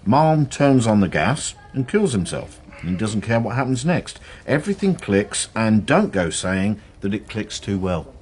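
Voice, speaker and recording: A man's voice: lowish voice, very animated, measured pace, few pauses, darkish tone, breathy edge, heavily acted. An actor playing a dismissive, matter-of-fact male police detective in a radio drama.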